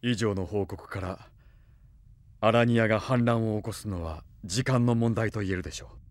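Male actor as composed court wizard, polite, measured, lowish voice, anime audio drama. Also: no room sound, natural voice. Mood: calm, serious.

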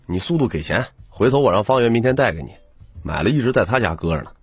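A man's voice: on the low side, lively intonation, fast talker, breathy edge, no echo, soft background music, pitched above the performer's own voice. A man voicing a cheeky young office slacker with brash, wheedling sales patter in an audiobook. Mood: breezy.

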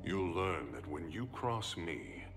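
A hulking, cold-blooded crime boss from a video game, his words dragged out slow and heavy. The voice sits very low and crackles with gravel. A musical score plays under the cutscene. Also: very animated, over music, exaggerated voice. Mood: menacing. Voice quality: deep, slow, gravelly and contemptuous.

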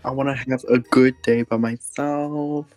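A man's voice: medium pitch, fairly even intonation, measured pace, no room sound, natural voice. Man playing a sulky, defiant kid, blunt. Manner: blunt, argumentative, complaining. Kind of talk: casual improvised online game roleplay. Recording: music behind the voice.

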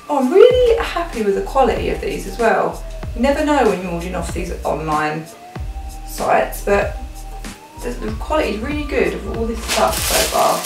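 Young woman, British accent, casual vlog chat, thinking aloud, echoey room, background music. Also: mid-range voice. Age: young adult. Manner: informal, rambling, thinking aloud. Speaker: vlogger. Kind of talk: casual chatty haul vlog. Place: living rooms at home. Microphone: camera a few feet away. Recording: roomy, distant mic, music behind.

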